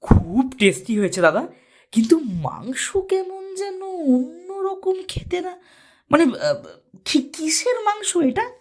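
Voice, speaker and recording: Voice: very high voice, sing-song, darkish tone, full character voice. An actor playing a meek young college student, a younger brother in an audio thriller, speaking hesitantly. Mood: hesitant, uneasy. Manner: hesitant, halting. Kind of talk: audio thriller story acting.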